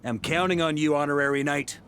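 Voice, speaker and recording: An actor voicing a confident middle-aged knight commander who speaks calmly and decisively. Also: male voice, on the high side, brisk, lightly acted. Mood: assured. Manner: calm, assured, commanding.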